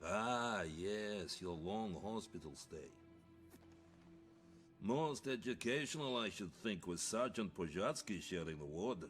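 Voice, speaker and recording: An actor playing a cold, calculating Soviet officer with a measured, sardonic manner in a game cutscene. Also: male voice, on the low side, animated, a bit bright, soft background music. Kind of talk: dramatic game cutscene acting.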